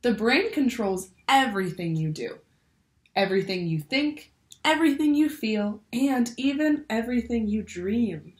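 A woman's voice: on the high side, animated, measured pace. A young woman reading a children's picture book aloud like a teacher, expressively, asking the listeners questions. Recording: roomy indoor echo.

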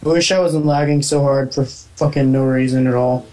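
A man's voice: medium pitch, steady tone, moderate pace, darkish tone, poor audio. A man hosting a casual gaming session, guiding friends through a level and cursing at lag. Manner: guiding others, swearing at lag. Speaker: let's play host.

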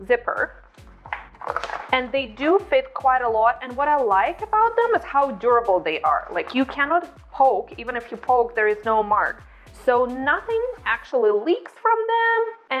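A woman, hosting a cooking tutorial in her home kitchen, chatty and bubbly on a lapel mic. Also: high voice. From Ukraine. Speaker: cooking channel host. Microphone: clip-on lapel mic.

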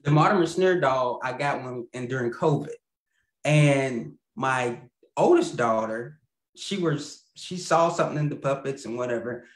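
A man's voice: medium pitch, moderate pace. A man being interviewed over a video call about his puppets, rambling and stopping to apologize, laughing easily. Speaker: puppeteer guest. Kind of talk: casual interview chat. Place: home room with a lamp and plant. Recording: echoey room on a video call.

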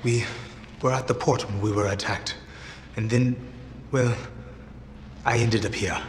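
An actor playing a weary, cautious military squad captain in a video game cutscene. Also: male voice, fairly low pitch, fairly even intonation, unhurried, close to an everyday voice. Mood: weary, puzzled. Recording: echoey, with very loud background noise.

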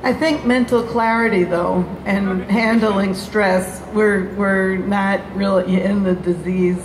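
A middle-aged woman on a convention panel giving a measured, technical answer with fillers into a handheld mic. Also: medium pitch, fairly even intonation. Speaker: panelist answering audience question. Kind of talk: careful explanatory panel answer. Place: large convention hall stage. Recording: echoey convention hall with noise.

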